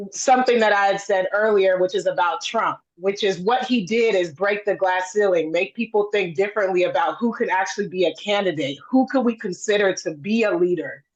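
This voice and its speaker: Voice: mid-range voice, highs cut off. A person in their forties on a video call, chatting in a friendly, rambling way with many fillers. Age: 40s. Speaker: campaign supporter on a livestream. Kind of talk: casual video-call chat.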